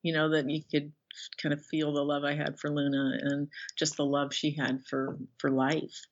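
A woman's voice: low voice, completely flat delivery, moderate pace. A woman speaking casually as a guest author in an interview, thinking aloud in a rambling, self-effacing way. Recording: dull lo-fi call audio.

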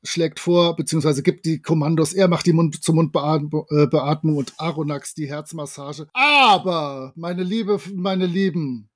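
A man's voice: on the high side, measured pace, few pauses. A man in a casual podcast discussion, talking in a playful, mock-dramatic way. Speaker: podcast panelist.

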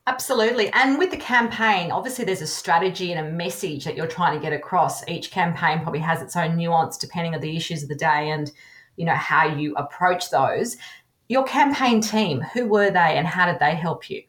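A woman hosts the conversation, affirming her guest and asking thoughtful questions. Her voice sits a little low, and the room around her adds a noticeable echo. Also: a little fast, a bit bright. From Australia. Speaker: podcast host. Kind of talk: conversational interviewing. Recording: roomy echo.

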